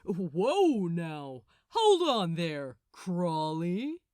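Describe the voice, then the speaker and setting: A woman's voice: lowish voice, big pitch swings, drawn-out words, darkish tone, dry recording, clean studio sound, full character voice. A woman voicing a smug, impatient male angel with a brash, sarcastic, exasperated manner in a storybook reading. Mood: smug, mocking.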